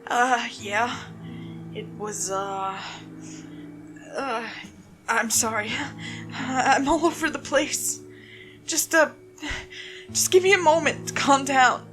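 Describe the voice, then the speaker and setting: A woman's voice: fairly high pitch, slow pace, pauses often, music in the background, pitched above the performer's own voice. A woman voicing a shaken, panicked suspect in a horror story, stammering and tremulous as they plead with police. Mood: panicked, distraught. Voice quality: tremulous, breathy gasps. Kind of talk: dramatic horror story reading.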